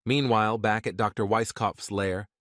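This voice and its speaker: Woman as very deep-voiced cartoon narrator. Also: steady tone, moderate pace, no room sound. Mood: matter-of-fact. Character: terse scene-setting cartoon narrator. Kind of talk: scripted narration.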